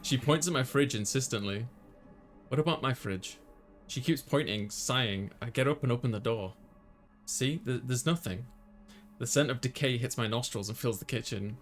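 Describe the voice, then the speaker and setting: A man's voice: medium pitch, moderate pace, soft background music. A man hosting a gaming video, reading visual novel text aloud and adding casual asides.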